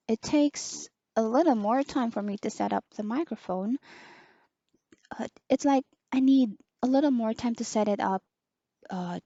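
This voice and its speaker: A young woman with a soft, low voice, slowly reviewing a headset as an online teacher, pausing often with fillers. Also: no echo, lo-fi sound. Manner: slow, hesitant, many pauses and fillers. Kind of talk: casual product review.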